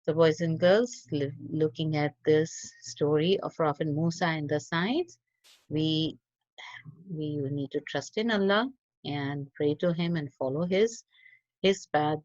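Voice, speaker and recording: A woman reading a religious story for children in an expressive, teacherly way. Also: sits low, unhurried, no room sound. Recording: dull lo-fi audio.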